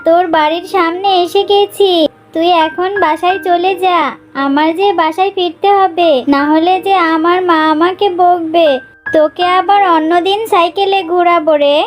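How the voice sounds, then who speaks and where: A woman's voice: extremely high pitch, fairly even intonation, moderate pace, soft background music. A woman voicing a meek, sad little girl fox in a children's cartoon, plaintive and pleading. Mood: downcast.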